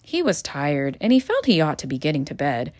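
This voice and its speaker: A woman reading a children's picture book aloud in a gentle, expressive storytelling manner. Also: sits low, fairly quick. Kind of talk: children's read-aloud narration.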